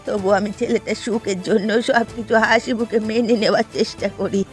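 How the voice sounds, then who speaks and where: An ageing village mother from a cartoon, acted by a woman, earnest and long-suffering. She speaks plaintively in a mid-pitched voice, doting and full of sorrow, with music playing behind her. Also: steady tone, brisk, a little mellow, lightly acted. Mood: sorrowful. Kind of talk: Bengali cartoon dialogue. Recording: background music under dialogue.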